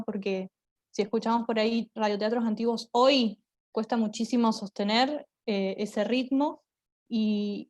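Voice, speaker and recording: Young Argentine woman, reflective and enthusiastic. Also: a little high. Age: young adult. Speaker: radio theatre performer and drama teacher. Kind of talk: conversational panel interview.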